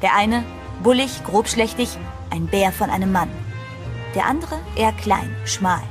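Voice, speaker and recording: A woman's voice: medium pitch, lively intonation, a little slow, close to an everyday voice. An actress playing an anxious woman who narrates her own horror story in a dramatic, suspenseful radio play style. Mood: tense, suspenseful. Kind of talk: German horror audio drama, scripted first-person narration. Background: prominent music.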